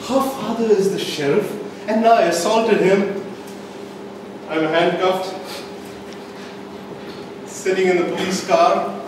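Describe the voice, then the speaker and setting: A distant, very echoey recording with clear background noise catches a man giving a comic storytelling speech. He holds dramatic pauses and leans hard on key phrases, building up each point theatrically for a live audience. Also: high voice. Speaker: club speaker telling a tall tale in a speech contest. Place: indoor meeting room, recorded from the audience.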